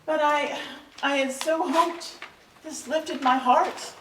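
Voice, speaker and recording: A woman playing a kindly, devout grandmother in a theatrical stage reading, earnest and gentle. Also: high-pitched, fairly even intonation, takes it slowly, a little hiss or hum. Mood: hurt, pleading. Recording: echoey hall, distant mic.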